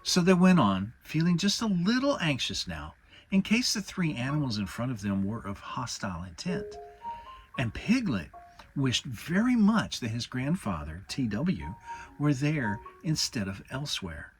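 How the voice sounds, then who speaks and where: Animated male children's storybook narrator. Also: mid-range voice, unhurried, poor audio. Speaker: audiobook narrator. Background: music.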